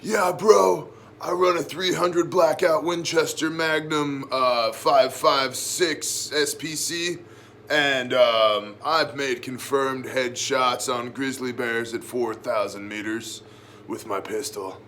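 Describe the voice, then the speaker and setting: A man mimicking a boastful, macho, gun-obsessed bro who brags in halting dude-speak. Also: medium pitch, steady tone, fairly bright tone, a little hiss or hum. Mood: smug bragging. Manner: bragging, dude-speak with hesitations.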